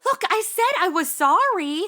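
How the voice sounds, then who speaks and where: An actress playing a breezy, tactless young podcaster with flippant modern slang in a comedy audio drama. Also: female voice, very high voice, sing-song, a little slow, sharp tone, faint music behind, heavily acted. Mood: chatty.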